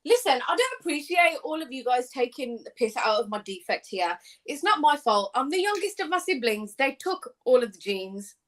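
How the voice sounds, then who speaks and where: Brief: woman, high animated voice, playful and cheeky, casual panel banter over a video call. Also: moderate pace, few pauses. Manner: playful, teasing back. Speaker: panelist on a live call-in show. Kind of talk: casual group chat.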